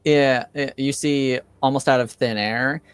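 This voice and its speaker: A man running a tabletop role-playing game, talking in a halting way with lots of fillers. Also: medium pitch, steady tone, smooth, dry recording. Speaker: game master.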